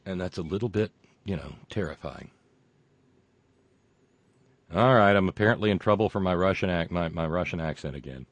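A man hosting a show, reading viewer comments and delivering sarcastic political commentary that swings from loud rants to muttered asides, with frequent fillers and profanity. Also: sits low, moderate pace, pauses often, dry recording. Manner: mocking, builds into loud rants then drops to muttering; profane, many fillers and restarts.

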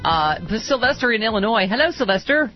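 A woman hosting a talk radio show, playful and quick to laugh, on slightly dull radio audio. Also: on the high side, big pitch swings, faint music behind. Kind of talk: casual talk radio banter.

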